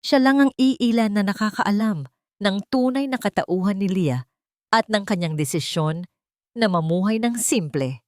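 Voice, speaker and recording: A woman's voice: mid-range voice, a little slow, darkish tone, no echo, clean studio sound. A woman reading a dramatic scripted love story as its narrator.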